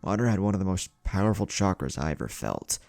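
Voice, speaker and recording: A man's voice: sits low, sing-song, fast talker, creaky, darkish tone, dry recording, heavily acted. A man voicing a stern, short-tempered male ninja elder with a blunt, scolding way of talking in a story reading. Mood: dry, scolding. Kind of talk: fan fiction story reading.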